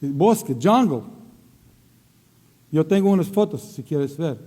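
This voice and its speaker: A middle-aged Croatian man, a missionary, speaking in halting non-native Spanish, searching for words and slipping into English at times. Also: on the high side, expressive, warm tone, big-room sound. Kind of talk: church greeting and testimony. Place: church sanctuary.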